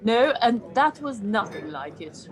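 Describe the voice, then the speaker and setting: High, sardonic heckling critic played by a woman. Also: big pitch swings, a little slow. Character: sardonic, grumbling theatre critic. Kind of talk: amateur pantomime comedy acting.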